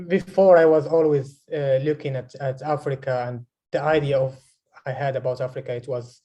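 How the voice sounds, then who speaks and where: Young guy from morocco on a video call, mellow dark voice, lots of stops and restarts. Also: mid-range voice. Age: 20s. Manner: hesitant, searching for words with many restarts. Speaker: guest filmmaker.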